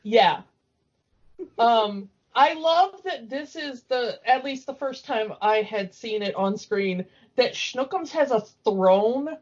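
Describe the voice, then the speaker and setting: A woman in a casual, discursive fan chat about cartoons, talking in an animated, opinionated way. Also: on the high side, takes it slowly, dull audio. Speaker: podcast co-host.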